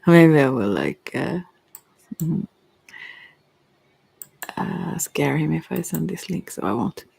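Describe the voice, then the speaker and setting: Low creaky woman, non-native english, soft asmr ramble that drifts into whispers, trails off a lot. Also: lively intonation, slow pace, a little hiss or hum. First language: not English. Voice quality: soft, breathy, slipping into whispers. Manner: hesitant, meandering, trailing off. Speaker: ASMR creator and tarot reader.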